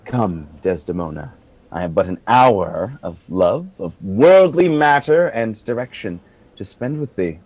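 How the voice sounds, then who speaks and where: A man's voice: fairly low pitch, animated, a little slow, a little mellow, no room sound. A man playing a noble, tender, commanding general in a Shakespeare radio play, stately and earnest. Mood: tender, resolute.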